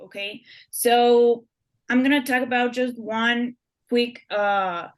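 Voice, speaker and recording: A woman's voice: a little high, steady tone, slow pace. A young woman from Ecuador, a guest author answering interview questions in a chatty way, with many fillers and restarted words.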